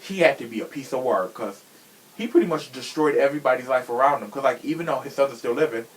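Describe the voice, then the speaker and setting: A man's voice: medium pitch, animated, fast talker, rough recording. A young man hosting a podcast in a casual, opinionated chat, talking in a sassy, slangy and emphatic way. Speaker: podcast host.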